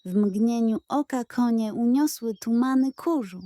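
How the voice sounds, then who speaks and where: A woman narrating a children's audio story in a dramatic, measured storytelling manner. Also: a little high, warm tone, dry recording.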